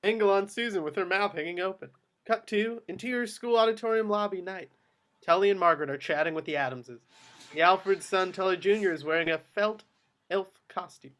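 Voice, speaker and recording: A man with a high voice reads out stage directions. It is scripted narration for a table read of a screenplay. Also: fairly quick, a bit bright.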